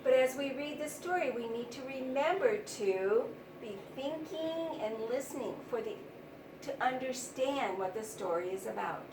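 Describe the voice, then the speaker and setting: A woman teaching young children, explaining things simply and deliberately with plenty of repetition. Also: high-pitched, animated. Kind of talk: read-aloud vocabulary lesson for young children. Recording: roomy, distant mic, noisy background.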